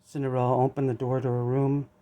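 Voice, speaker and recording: A man's voice: medium pitch, completely flat delivery, fast, mellow. A man narrating a children's storybook aloud.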